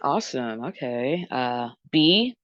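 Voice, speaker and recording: Woman host, low voice, quick little "awesome"s between guests, dry and kinda muffled. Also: animated, dry recording. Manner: brisk, repeats short approvals. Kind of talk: moderating a group dating show.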